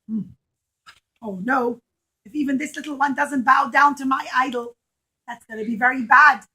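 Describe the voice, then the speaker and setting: A wicked storybook king, acted out by a woman, barks out orders in a high, bright voice. He is bossy and scheming, stressing his words loudly for a puppet show. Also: normal speed, slightly rough, heavily acted, rough recording. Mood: scheming, vexed. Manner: loud, commanding, emphatic.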